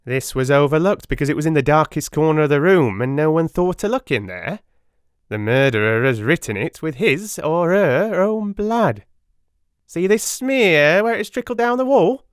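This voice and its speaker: A man plays a small, pompous police detective in an audiobook drama. The voice is pushed high and swoops about with boastful, triumphant excitement. He sounds thoroughly pleased with himself. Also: normal speed, smooth, dry recording, clean studio sound, lightly acted. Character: pompous, excitable little male police detective, self-satisfied. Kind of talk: dramatic audiobook character acting.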